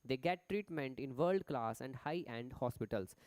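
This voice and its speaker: A man's voice: medium pitch, animated, normal speed, darkish tone, no echo. A man with an Indian accent teaching a live online class, chatty as he reads points off slides and stops to answer students in the chat. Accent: Indian English.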